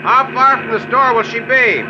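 A calm, practical pilot. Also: male voice, very high-pitched, normal speed. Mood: focused. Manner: calm, matter-of-fact. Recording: muffled old radio broadcast with hiss.

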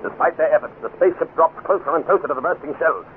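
An actor playing a dramatic, urgent announcer narrating a 1950s radio adventure serial. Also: male voice, on the high side, rapid-fire, narrow, tinny audio, natural voice. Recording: thin, faintly noisy old radio recording.

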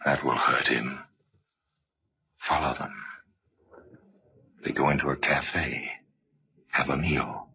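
A man acting as a sinister, knowing crime-story narrator in an old-time radio drama, speaking deliberately. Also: a bass voice, fairly even intonation, vocal fry, full character voice. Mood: hushed, goading. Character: ominous, knowing male narrator of true crime tales. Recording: dull old radio recording.

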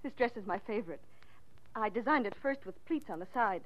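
An actress in a 1940s radio mystery playing an unhinged, boastful murderous wife, agitated and insistent. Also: female voice, mid-range voice, lively intonation, a little fast, lightly acted. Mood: obsessive, defensive. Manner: agitated, insistent, obsessively repeating herself. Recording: muffled vintage 1940s radio recording.

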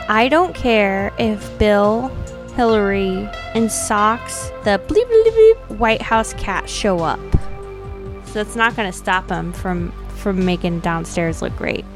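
Chatty woman podcast host, casual readings and banter, regular pitch, bit of music behind her. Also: animated, slow. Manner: chatty, uses like and you know often. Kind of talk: casual chat with dramatic readings of old notes.

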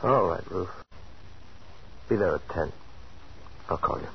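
An actor playing a cool, mercenary lover in a 1940s radio thriller, with blunt, slangy delivery. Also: male voice, low voice, slow, vocal fry, full character voice. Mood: cool, dismissive. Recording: muffled 1940s radio recording, faint noise.

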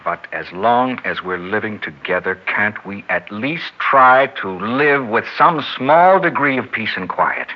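Worn-down husband, pleading and reasonable, low register, dull vintage broadcast. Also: male voice, lively intonation, measured pace, hardly any pauses. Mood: strained, reasoning. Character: weary, exasperated husband pleading for peace. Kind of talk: 1960s radio drama acting. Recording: dull 1960s radio recording.

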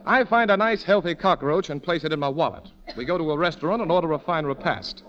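A man's voice: on the high side, lively intonation, fast, crowd noise, lightly acted. A man acting in a 1940s radio comedy as a genial, shameless card swindler, florid and mock-courtly in speech. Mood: glib, placating. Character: genial, silver-tongued, shameless old-time male card swindler. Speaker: radio actor. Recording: dull lo-fi vintage radio recording.

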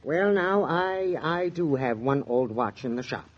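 Husky, eager watch salesman. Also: a little high, expressive, a little slow. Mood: coaxing. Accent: American. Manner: polite, hesitant sales patter. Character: eager, hesitant male small-shop watch salesman. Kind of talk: 1940s radio acting. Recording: dull lo-fi 1940s radio recording.

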